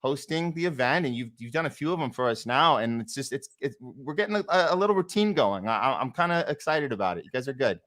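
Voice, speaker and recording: Male host, medium pitch, informal conversational talk show delivery. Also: expressive, fast talker, hardly any pauses, a bit bright. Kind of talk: casual talk show hosting.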